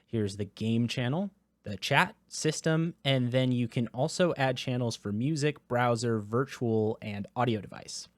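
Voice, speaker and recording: A man casually walking through software settings in a product review, talking into a close dynamic USB microphone. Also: mid-range voice, unhurried, dry recording. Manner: casual, explanatory, with filler words. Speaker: tech reviewer.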